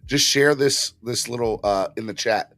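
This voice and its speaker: A man hosting a casual live sports fan podcast, urging viewers to subscribe, with his voice just back after being hoarse. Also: dry recording. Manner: animated, jumps into high put-on voices for quick bits. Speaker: podcast host.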